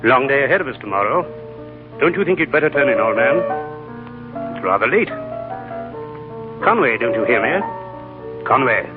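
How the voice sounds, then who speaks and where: An actor playing a sensible, concerned gentleman writer, polite and clear, in 1940s radio drama style. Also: mid-range voice, big pitch swings, moderate pace, pauses often, natural voice. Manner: polite, solicitous, clearly enunciated. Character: concerned, sensible gentleman writer looking after his sick friend. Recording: tinny old radio broadcast, faint music.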